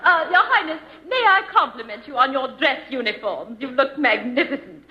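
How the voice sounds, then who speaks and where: An actress playing a gushing, affected high-society lady in a 1950s radio comedy. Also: lively intonation, moderate pace, a crowd in the background. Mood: gushing, flirtatious. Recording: muffled, noisy old radio recording.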